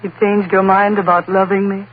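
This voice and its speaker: An actress as a devoted young sweetheart, affectionate and anxious, speaking with earnest, theatrical concern. It is 1930s radio drama, with a thin, tinny sound and plenty of hiss. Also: female voice, mid-range voice, little pitch movement, normal speed, lightly acted. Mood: tender concern.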